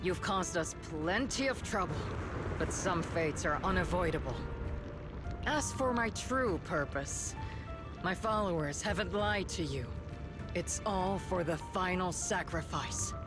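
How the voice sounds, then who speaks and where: A woman voicing a cold, embittered military colonel and secret cult leader in a video game, speaking in a theatrical, measured, menacing way. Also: fairly low pitch, animated. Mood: cold, solemn. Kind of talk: dramatic video game voice acting. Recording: loud music behind the voice. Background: dramatic game music.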